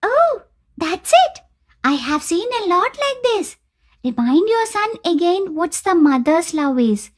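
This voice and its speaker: Squeaky, very high-pitched little magic eggplant. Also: female voice, very animated, measured pace, exaggerated voice. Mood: helpful, matter-of-fact. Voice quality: squeaky, childlike. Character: small talking magic eggplant, pleading and helpful. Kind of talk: animated moral story dialogue.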